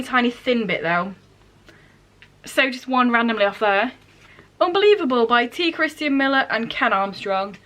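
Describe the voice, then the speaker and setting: A woman's voice: on the high side, a bit bright. A woman chatting casually to camera in a book vlog, informal and self-deprecating, with dry asides. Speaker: book vlogger.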